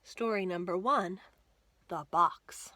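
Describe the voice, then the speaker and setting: Slow, bright-voiced woman reading to children. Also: on the low side, dry recording. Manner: slow, careful, expressive delivery for young children. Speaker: children's librarian and storyteller. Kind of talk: animated picture-book read-aloud for children. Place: small indoor room lined with bookshelves.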